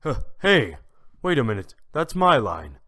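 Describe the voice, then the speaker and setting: A man's voice: medium pitch, very animated, unhurried, sharp tone. A man playing a mild, formal, easily flustered judge in a courtroom audio drama. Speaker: voice actor in a fan audio drama.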